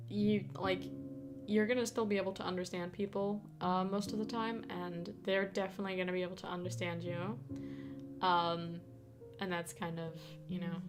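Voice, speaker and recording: A young woman, a native Danish speaker, chatting casually with viewers on a live stream, giggling often and restarting her sentences. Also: fairly low pitch. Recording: streamed with guitar music behind. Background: guitar music.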